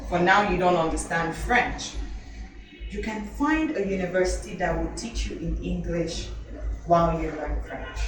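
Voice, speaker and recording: A woman, an elocution teacher, giving a talk to teenagers, asking them questions and repeating herself for emphasis. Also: fairly low pitch. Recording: roomy hall from a distance, music behind.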